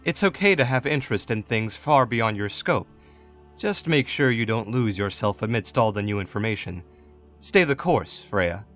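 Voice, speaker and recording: Audio drama archangel, acted out: male, quietly commanding, formal, middle register, over music. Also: medium pitch, lively intonation, moderate pace, close to an everyday voice. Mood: calm advice. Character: composed, authoritative male archangel, adviser to a king.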